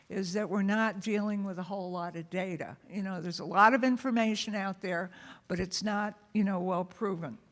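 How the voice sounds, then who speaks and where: A woman moderating a conference session, summarizing in a conversational way with many "you know" fillers, speaking in a conference room. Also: mid-range voice. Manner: says she has a loud voice.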